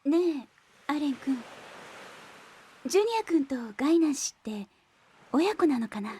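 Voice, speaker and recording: High, animated young anime woman. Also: moderate pace. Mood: curious. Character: earnest young woman, bright and emotional. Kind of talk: Japanese anime-style audio drama acting.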